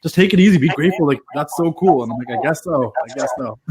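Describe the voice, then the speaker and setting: A man's voice: fairly high pitch, lively intonation, fast, crowd noise. A man co-hosting an interview in a casual, conversational way. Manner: conversational, informal. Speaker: interview co-host. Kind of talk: casual chat.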